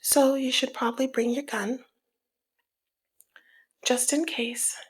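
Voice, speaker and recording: A woman playing a flirty, teasing, dominant female mob boss in an intimate ASMR roleplay, with a husky, breathy, half-whispered voice and a sultry, taunting manner. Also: sits high, fairly even intonation, heavily acted. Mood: suggestive, teasing. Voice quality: breathy, husky, often slipping into near-whisper. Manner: slow, sultry, playful taunting. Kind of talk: ASMR roleplay, intimate one-sided dialogue.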